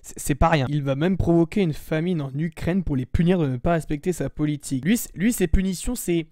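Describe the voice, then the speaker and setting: Un jeune homme qui raconte l'histoire en plaisantant, d'une voix assez aiguë et d'un son très sec. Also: male voice, a little high, brisk, warm tone, dry recording, clean studio sound. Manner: joking asides, informal. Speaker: educational youtuber. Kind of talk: casual history revision.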